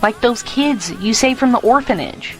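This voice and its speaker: A woman's voice: fairly high pitch, expressive, normal speed, darkish tone, soft background music, natural voice. An actress playing a wary, disapproving woman fighter in an audio drama, probing and restrained.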